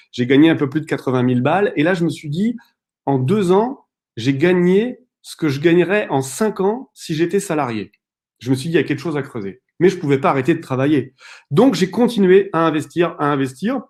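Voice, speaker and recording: A man in his thirties co-hosting an online conference, telling his story in a direct, animated way with frequent fillers. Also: fairly high pitch. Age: 30s. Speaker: real estate investor co-hosting a webinar.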